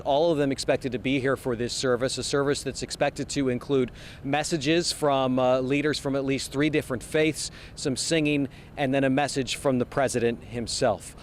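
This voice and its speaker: A man reporting live as a television news field reporter from outdoors on a city street. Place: outdoors on a city street outside an event venue. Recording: outdoor street noise, traffic rumble.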